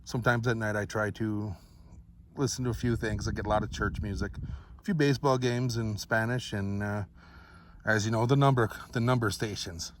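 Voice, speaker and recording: A man with a fairly low voice talks viewers through a product review, unscripted and casual. He rambles along in a laid-back, folksy manner, with clear background noise like that of a vehicle in the recording. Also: fairly even intonation, moderate pace.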